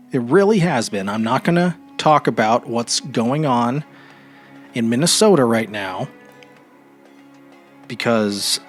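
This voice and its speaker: A man's voice: medium pitch, a bit bright. American man, casual podcast host over music. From Vermont, New England. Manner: informal, rambling, self-interrupting. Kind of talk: casual solo podcast monologue.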